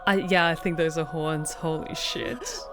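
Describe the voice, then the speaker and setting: A woman's voice: low-pitched, very animated, unhurried. A woman streamer giving live reaction commentary as she plays a visual novel. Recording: game music behind the voice.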